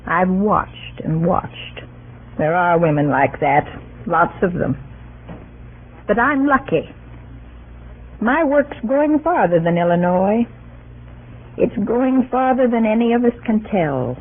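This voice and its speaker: Husky, proud wife, old radio. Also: female voice, mid-range voice, animated. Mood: proud, reflective. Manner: earnest, deliberate, theatrical. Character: plain, sharp-tongued, devoted and proud politician's wife. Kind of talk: 1940s radio acting. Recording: muffled, band-limited old radio recording.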